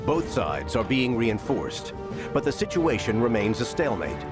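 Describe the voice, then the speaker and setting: A man narrating a World War II game briefing in a formal, measured, emphatic manner. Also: medium pitch, very animated, lightly acted. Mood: grave, formal. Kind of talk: scripted wartime campaign narration. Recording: loud music over the voice.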